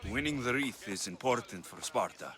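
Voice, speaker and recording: An actor playing a gruff, impatient young Spartan mercenary in a game, speaking in a curt, stern and sardonic way. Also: male voice, mid-range voice, animated, normal speed, a crowd in the background, natural voice. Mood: businesslike.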